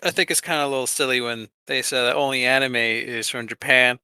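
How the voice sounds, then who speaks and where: A man's voice: mid-range voice, steady tone, brisk, pushed and bright, smooth, dry recording, clean studio sound. A man co-hosting a podcast, chatting in an informal, rambling and jokey way. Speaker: podcast co-host. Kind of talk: casual podcast chat.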